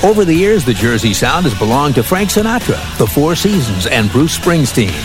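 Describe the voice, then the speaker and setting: A man hosting a weekly radio countdown show, reading scripted narration in a lively announcer style from a studio. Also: mid-range voice, moderate pace, poor audio. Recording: music playing behind. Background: music.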